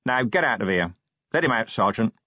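Police commander played by a man: world-weary, blunt, sardonic authority, lively intonation, dry close sound. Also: medium pitch, a little fast, no echo, muffled recording, pitched above the performer's own voice. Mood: curt, commanding. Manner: sardonic, commanding, plain-spoken.

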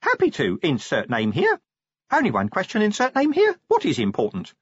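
A man voicing an eager, fussy male imp, speaking in a high, polite, literal-minded way. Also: wildly swooping pitch, normal speed, slightly rough, no room sound, full character voice. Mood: prim, helpful. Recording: dry, slightly dull close-mic.

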